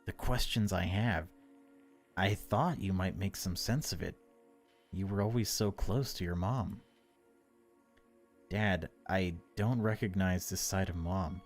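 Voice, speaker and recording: A man narrating a horror visual novel in a measured, unhurried way, with casual asides as a gaming video host. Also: low-pitched, faint music behind.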